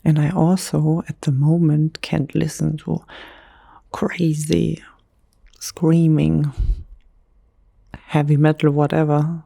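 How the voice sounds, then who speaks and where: German lady whispering asmr, slow and mellow, close dry mic. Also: low-pitched, flat delivery, vocal fry, breathy edge, no room sound. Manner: soft, slow, unhurried whisper with gentle pauses. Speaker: ASMR creator.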